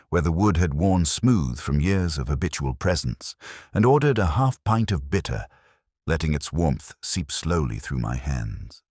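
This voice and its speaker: A man voicing a reflective, melancholy detective, narrating a scripted audio drama in measured, literary, contemplative phrases. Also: a bass voice, no echo, clean studio sound, heavily acted.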